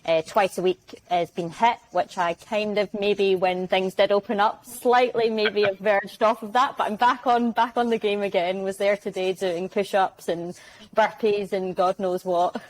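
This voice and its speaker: A woman's voice: mid-range voice, steady tone, measured pace, poor audio. A young woman from Glasgow with a Glaswegian accent, chatting as a guest on a video-call interview and acting out little quoted exchanges. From Glasgow, Scotland. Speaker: guest, sales development rep.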